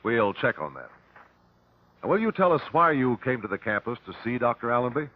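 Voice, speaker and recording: An actor playing a self-assured, formal, probing investigator in an old-time radio drama. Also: male voice, mid-range voice, big pitch swings, brisk, no room sound. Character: self-assured, courteous male amateur legal investigator. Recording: tinny, narrow old radio recording.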